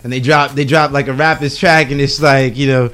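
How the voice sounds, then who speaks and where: A man's voice: medium pitch, steady tone, a little fast, a crowd in the background. A man co-hosting a radio show, bantering playfully and teasing the guests. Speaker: radio co-host. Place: radio studio. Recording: faint music behind.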